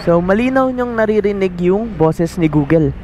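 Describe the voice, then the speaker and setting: A man's voice: high-pitched, lively intonation, normal speed, darkish tone. A Filipino man talking casually and informally as a motovlogger showing a device, through a helmet mic. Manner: informal, switches between Tagalog and English. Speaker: motovlogger, host. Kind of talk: casual vlog talk, product demo. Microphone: helmet-mounted mic into action camera. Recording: noisy outdoor motorbike ride, engine and traffic.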